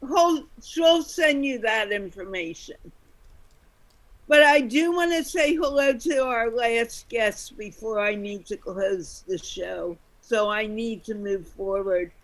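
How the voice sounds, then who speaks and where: A woman with a high voice hosts an online meetup over a video call. She speaks at an unhurried pace, putting questions to guests in a conversational way. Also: low-quality recording. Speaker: host.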